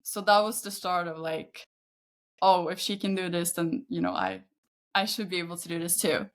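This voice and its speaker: Female, 30s, Swedish accent, slightly low voice, relaxed conversational podcast guest. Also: normal speed. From Sweden. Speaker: podcast guest, football agent. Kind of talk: casual interview chat.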